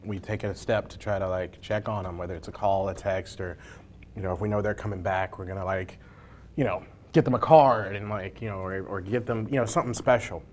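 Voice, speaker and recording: A man's voice: fairly low pitch, steady tone, fairly quick, slight background noise. A man hosting a casual, jokey interview with informal, colloquial phrasing. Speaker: interview host, library information desk worker. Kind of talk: casual chat during a hot sauce challenge interview.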